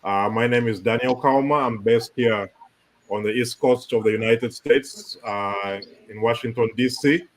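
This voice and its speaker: A man's voice: mid-range voice, measured pace, a little hiss or hum. A Ugandan man, a human rights activist, introducing himself politely on a panel.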